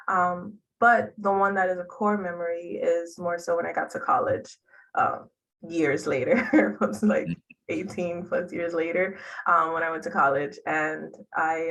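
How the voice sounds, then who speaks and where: Young female interview guest from Haiti, lower voice, chatty, laughs between phrases, mic somewhat distant. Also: vocal fry, fairly bright tone. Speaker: interview guest, public health worker. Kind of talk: conversational interview answers.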